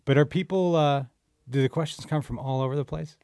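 A man's voice: fairly high pitch, fairly quick, a little mellow, no room sound, studio quality. A man co-hosts a podcast, chatting casually and asking questions in a jokey, rambling way. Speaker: podcast co-host. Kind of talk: casual interview chat.

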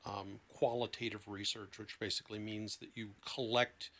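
A man's voice: fairly low pitch, flat delivery, moderate pace, fairly bright tone. A man speaking as a therapist guest in an interview, explaining in a conversational way with examples. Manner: explanatory, illustrating with anecdotes and quoted dialogue.

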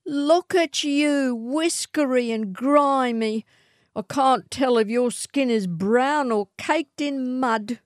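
A woman voicing a haughty, snobbish young outback woman in a storybook reading, with a shrill, sneering, theatrical delivery. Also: takes it slowly, dry recording, studio quality.

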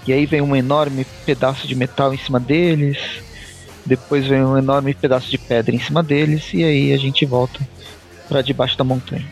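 Brazilian man, podcast co-host, gravelly voice, faint music behind, casual recap. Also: medium pitch, little pitch movement, normal speed, low-quality recording. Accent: Brazilian Portuguese. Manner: laughs often, recaps plot in loose asides. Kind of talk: casual comic review chat.

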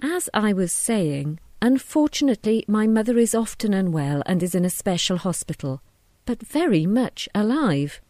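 Female reader as sardonic grown woman, measured, wry, mellow tone, dry close sound, storybook drama. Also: mid-range voice, big pitch swings, no echo, lightly acted. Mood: cool, deliberate. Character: cool, sardonic adult daughter exposing her father.

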